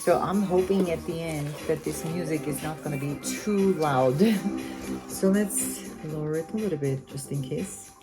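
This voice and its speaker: A woman's voice: deep voice, normal speed. A woman from Armenia with an Armenian accent, chatting casually through a cooking vlog in her home kitchen, rambling with lots of fillers and self-corrections. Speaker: cooking vlogger. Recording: loud background music.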